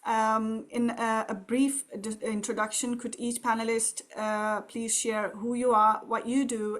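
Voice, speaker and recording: A woman's voice: fairly high pitch, fairly bright tone. A woman moderating an online panel, giving a prepared speech in an earnest, formal way. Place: indoor room, video call. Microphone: video call microphone.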